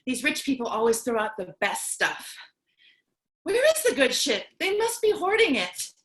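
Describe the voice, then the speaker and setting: A lonely, chatty survivor of the apocalypse, played by a woman in a comic stage play. She is excitable and theatrical, with a high voice that swings widely in pitch. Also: moderate pace. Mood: cheerful, casual, then angry ranting. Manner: theatrical, chatty, swings between outbursts and cheer.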